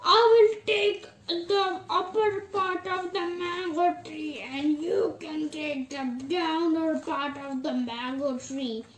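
A woman voicing a cunning, bossy elder brother puppet with a childlike, deliberate manner. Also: very high voice, fairly even intonation, echoey room, slight background noise. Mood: sly.

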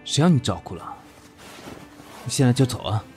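An actor in an audio drama playing a proud, weary, sharp-tongued businessman, guarded with his lover, speaking in a curt, dry way. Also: medium pitch, sing-song, normal speed, soft background music, natural voice. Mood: irritated, weary.

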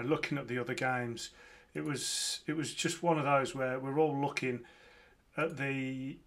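A man's voice: mid-range voice, a bit bright. A man in his thirties from Lancashire with a Birmingham accent, giving a casual, enthusiastic football match reaction from home. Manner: chatty, enthusiastic, frequent fillers and restarts. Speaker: football podcast host. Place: home room with framed shirts, indoors.

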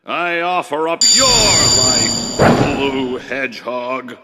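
A man's voice: lively intonation, slow, long reverb tail, over loud music. An actor playing a menacing, grandiose male genie villain in a video game cutscene. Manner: grandiose, booming threats.

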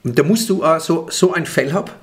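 A man's voice: a little high, lively intonation, fairly quick. An older man with a Franconian accent, chatting as a comedian guest in a small office room, with lots of restarts as he talks. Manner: chatty, lots of restarts, gestures while talking. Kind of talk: casual interview chat. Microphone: table microphone.

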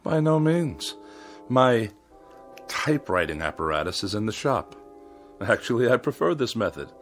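An actor playing a haughty, paranoid middle-aged writer in a radio drama, with florid, sarcastic speech. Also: male voice, mid-range voice, very animated, moderate pace. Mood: disdainful. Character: pompous, paranoid, irascible middle-aged male writer who hates machines. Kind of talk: theatrical radio drama acting. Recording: studio radio drama with music behind.

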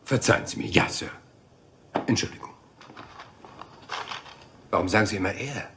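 An actor playing a rumpled, humble police inspector in a German radio crime drama, talking in hesitant, apologetic, rambling questions. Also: male voice, fairly low pitch, moderate pace, background noise, close to an everyday voice. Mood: musing.

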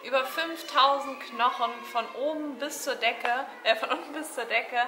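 A woman's voice: high voice, normal speed, roomy sound. A woman in her twenties talking casually to camera as a travel vlogger, explaining and chatting. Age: 20s. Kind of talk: casual vlog chat and explanation. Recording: noisy camera recording with background ambience.

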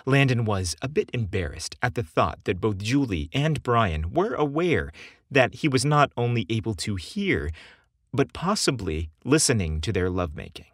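A man's voice: mid-range voice, sing-song, moderate pace, dry recording, studio quality. A man narrating scripted romantic fiction for a story podcast.

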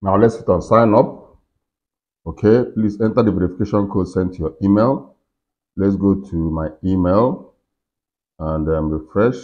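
A man in his forties hosting a casual software walkthrough, talking himself through each step. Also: low-pitched, measured pace, pauses often. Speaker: tutorial host. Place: indoors in a furnished room. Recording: slightly dull, lo-fi sound.

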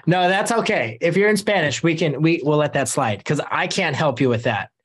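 Energetic young man coaching on a call. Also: on the high side, a little slow, husky. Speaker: host. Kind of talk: casual sales coaching on a video call. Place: home room with shelves.

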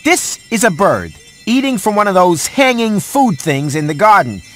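An actor playing a sarcastic, fussy house cat who wisecracks his way through an animated video game. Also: high voice, normal speed, faint music behind. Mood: wry, instructing. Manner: wisecracking, sardonic.

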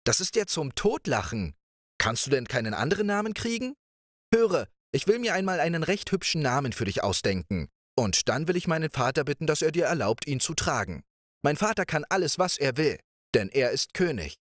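A man voicing a cheerful, carefree young princess with a playful, lively way of speaking. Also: fairly high pitch, brisk, no room sound, pitched above the performer's own voice. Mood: teasing. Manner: bright, playful, lively questioning.

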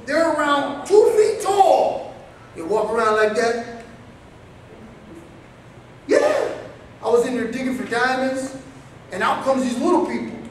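A middle-aged man preaches with a high, lively voice, acting out little scenes as he moves around. He is picked up by a far camera, so the small room rings with heavy echo and a faint hiss. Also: a little slow, pauses often. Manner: animated, acts out quotes, gestures widely while pacing. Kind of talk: storytelling sermon. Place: small indoor meeting room.

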